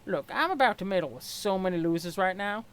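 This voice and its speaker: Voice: medium pitch, animated, fairly quick, a bit bright, natural voice. A performer voicing a brash, scheming young female alien with a sassy, sarcastic and pushy way of talking. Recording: clear background noise.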